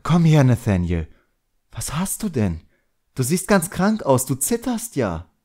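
A man voicing a caring, concerned female tutor in an audiobook, gently and anxiously questioning. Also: mid-range voice, huge pitch swings, measured pace, pitched above the performer's own voice. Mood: alarmed, caring.

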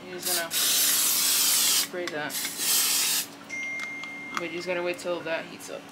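A woman's voice: fairly low pitch, flat delivery, takes it slowly. A woman explaining how to cook pancakes in a home kitchen, chatty and casual with lots of fillers. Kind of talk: casual cooking demonstration. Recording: home video, loud background noise.

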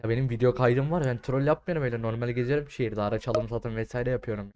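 A young man doing casual, slangy game commentary, swearing freely and repeating words in excited bursts. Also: medium pitch, fast, noisy background. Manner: foul-mouthed, slangy. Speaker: gaming streamer.

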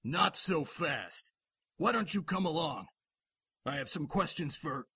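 A man's voice: a little high, animated, a little slow, bright, forceful tone, breathy edge, no echo, heavily acted. A man playing a firm, dry-witted police lieutenant in a radio drama, blunt and official in manner. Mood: official, wary.

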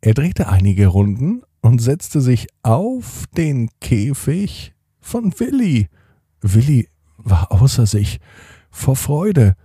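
A man hosting and narrating a children's bedtime story in an expressive manner, speaking directly to young listeners. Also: mid-range voice, slow pace, breathy edge, no room sound, clean studio sound. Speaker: podcast host and storyteller.

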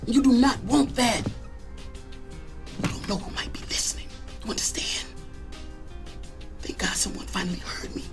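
An actor playing a jittery, paranoid American radio disc jockey in a video game, with an urgent, stammering delivery. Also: male voice, extremely high pitch, normal speed, pauses often, in a whisper, over music. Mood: hushed, anxious.

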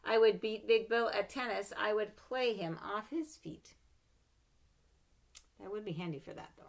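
A middle-aged woman reading a children's picture book aloud at home, expressive and animated, with playful asides between pages, recorded on a webcam. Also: medium pitch, measured pace, gravelly. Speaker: storybook reader. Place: home room with bookshelves. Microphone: webcam at desk.

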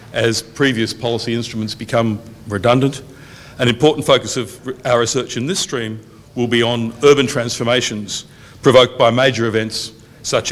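A man's voice: medium pitch, a bit bright. Middle-aged man giving a formal welcome. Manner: measured, reads out long lists evenly. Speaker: university dean opening a public event. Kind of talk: formal welcome speech at a lectern. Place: university function room, indoors, medium size. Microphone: lectern microphone on a boom stand.

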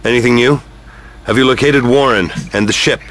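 A man's voice: medium pitch, brisk, heavy background noise, natural voice. A man playing a tough, sardonic action hero in a video game, with a dry, sarcastic delivery. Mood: irritated. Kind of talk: video game character acting. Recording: loud music behind the voice.

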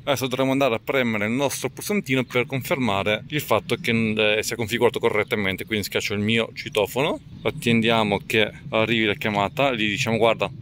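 A man's voice: on the low side, fairly even intonation, fairly quick, few pauses, fairly bright tone, slight background noise. Man, instructional, informal tech walkthrough, roomy indoor sound. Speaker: tech reviewer and tutorial presenter. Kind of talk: step-by-step product tutorial.

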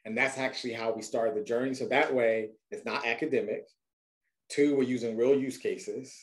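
A man's voice: mid-range voice, steady tone, moderate pace, low-quality recording. A man in his forties talking conversationally and with confidence on a video call panel. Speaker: data leader panelist.

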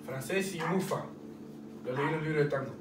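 A man's voice: a little high, normal speed, lightly acted. A man with an Argentine accent playing a nosy, chatty young soldier in a war dugout, in stage play dialogue. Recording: echoey distant room recording, clear noise.

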